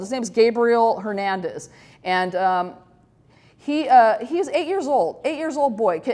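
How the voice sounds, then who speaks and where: A woman in her fifties hosts a casual talk show, rambling candidly and poking fun at herself. Her voice sits a little high, and her talk is full of fillers and restarts. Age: 50s. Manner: candid, self-deprecating, rambling with many fillers. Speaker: host.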